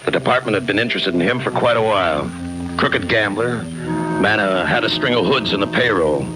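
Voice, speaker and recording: An actor playing a hard-boiled, laconic homicide lieutenant with a short temper, terse and deadpan, in 1950s radio crime drama style. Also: male voice, fairly low pitch, a little fast, natural voice, rough recording. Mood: dry narration. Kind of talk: 1950s radio crime drama acting with first-person narration. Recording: old radio broadcast with music behind.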